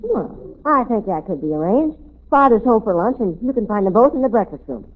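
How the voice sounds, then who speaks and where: Droll little kid, bouncy pitch, mock-formal, thin old 1940s radio sound. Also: female voice, sing-song, fairly quick, husky, phone-quality sound. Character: droll, precocious young kid sibling. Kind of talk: 1940s radio comedy acting.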